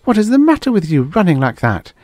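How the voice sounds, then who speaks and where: A man's voice: sits high, wildly swooping pitch, fast talker, a little mellow, no room sound, clean studio sound, voice pushed up, heavily acted. A man voicing an anxious, frightened mother who urgently calls and scolds her small boy in an audiobook story. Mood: scolding. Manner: urgent, exclaiming.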